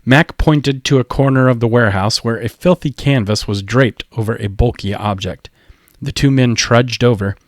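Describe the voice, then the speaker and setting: Male audiobook narrator, mid-range voice, expressive scripted storytelling, close dry recording. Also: mid-range voice, moderate pace, no room sound.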